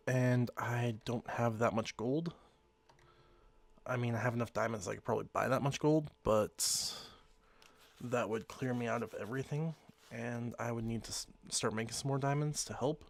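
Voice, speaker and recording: A man with a slightly hoarse voice from being sick, casually talking through his gameplay in a rambling, easygoing way. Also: mid-range voice, dry recording. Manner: rambling, easygoing, self-correcting. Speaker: let's play host.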